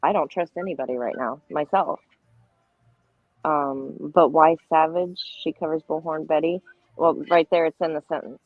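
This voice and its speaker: A woman's voice: low-pitched, steady tone, moderate pace, no echo, soft background music. Woman on a tinny phone-like line. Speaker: panel guest. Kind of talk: casual livestream chat.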